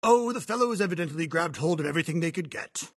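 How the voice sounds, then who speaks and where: A man's voice: a little high, expressive, fast talker, voice pushed up. A man reading an audiobook voices a hearty, chatty old retired army colonel. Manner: bluff, chatty, exclamatory. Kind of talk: audiobook character voice.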